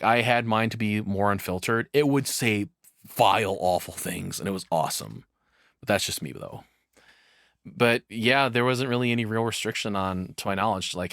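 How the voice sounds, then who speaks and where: Deep-voiced man, rambling casual commentary. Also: a bit bright, no echo, clean studio sound. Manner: rambling, sweary. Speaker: streamer reacting to a video.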